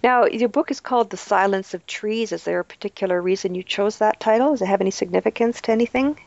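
A woman's voice: medium pitch, quick pace, vocal fry, a little mellow, dry recording, dull audio. A woman hosting a book interview, encouraging her guest with short affirmations. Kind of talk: interview host.

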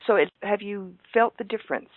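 A woman from Canada hosting a radio program, introducing a guest and asking measured questions. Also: medium pitch, no room sound, phone-quality sound. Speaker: radio program host, interviewer. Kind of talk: radio interview.

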